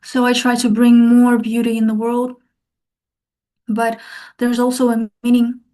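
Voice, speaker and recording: A woman's voice: on the high side, monotone, unhurried, mellow. A young woman artist from Kazakhstan, talking reflectively as a podcast guest. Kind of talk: podcast interview.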